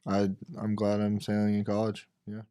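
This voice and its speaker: A man's voice: on the low side, completely flat delivery, normal speed, dark tone, clean tone, no room sound, studio quality. A young man from Connecticut, a college sailing captain, giving laid-back interview answers. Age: young adult.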